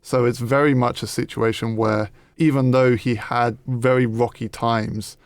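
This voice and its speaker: A man's voice: medium pitch, steady tone, unhurried, dry recording. A man co-hosting a podcast, talking conversationally about faith and sport. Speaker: podcast co-host and producer.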